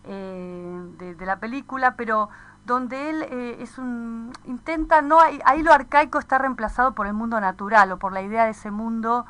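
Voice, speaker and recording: A woman's voice: on the high side, normal speed, fairly bright tone. A woman in a conversational radio discussion, thinking aloud with hesitations and frequent tag questions.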